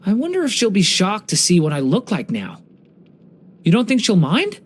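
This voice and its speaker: An expressive, gentle young dragon boy. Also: high voice, normal speed, slightly rough, heavily acted. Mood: bright, polite. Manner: polite, earnest, storytelling. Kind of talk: English video game character voice lines.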